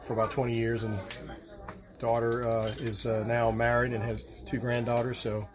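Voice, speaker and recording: A middle-aged or older man from the New Orleans area, giving casual, halting answers as an interview guest. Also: mid-range voice, monotone. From New Orleans area, Louisiana. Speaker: interview guest, travel association president. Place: at a festive party. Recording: busy party chatter behind voice.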